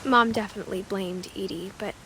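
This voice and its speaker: A reflective teenage girl, played by a young woman, narrates a story game about her family. She speaks in a measured, understated, wistful way at a middling pitch, with music clearly playing behind her. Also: pushed and bright, slight background noise, natural voice, rough recording. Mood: quiet, wistful. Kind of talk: scripted first-person game narration.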